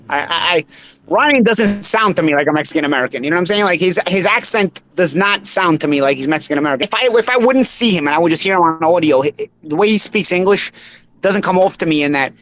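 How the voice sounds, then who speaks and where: A man in his thirties with a Bensonhurst Brooklyn accent, a former boxer hosting a casual boxing talk show, animated and tripping over repeated words. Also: fairly high pitch. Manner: animated, piles up quick restarts and repeated words.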